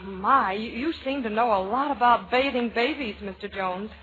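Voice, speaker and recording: High, fluttery, flustered wife, played by an actress. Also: female voice, normal speed, fairly bright tone, lightly acted. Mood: nervous. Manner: hesitant, stammering, nervous. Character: flustered wife bluffing as a baby-care expert. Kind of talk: 1940s radio comedy acting. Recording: muffled old radio recording, audience behind.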